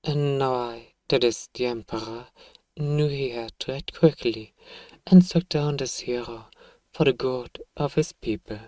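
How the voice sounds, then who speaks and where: A man playing a self-righteous evil emperor, softly and coaxingly telling a bedtime story to a child in an ASMR roleplay. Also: medium pitch, unhurried, dry recording, natural voice. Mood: smug soothing.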